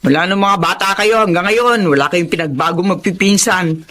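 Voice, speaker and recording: A woman's voice: lowish voice, normal speed, fairly bright tone, slight background noise, natural voice. A woman playing a scolding, exasperated middle-aged mother and aunt in a Filipino radio drama. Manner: nagging.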